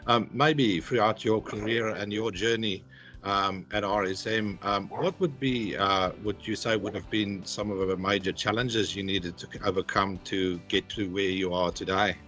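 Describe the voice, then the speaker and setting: A man's voice: fairly low pitch, steady tone, normal speed, fairly bright tone, faint music behind. A man working as a cybersecurity principal, talking casually in a workplace interview, hesitant with many fillers. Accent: non-Australian.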